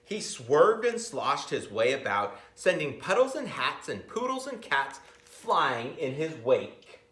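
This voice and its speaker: A middle-aged man reading a picture book aloud expressively, pausing to comment and ask his listeners questions, recorded in a school library. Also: fairly high pitch, big pitch swings, a bit bright. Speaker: school reader of picture books to children. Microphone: camera a few metres away. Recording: roomy library, camera at a distance.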